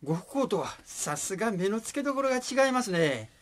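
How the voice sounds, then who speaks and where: A man's voice: sits high, sing-song, brisk. A man playing a bantering legal scrivener with a Kansai accent in a comic radio drama.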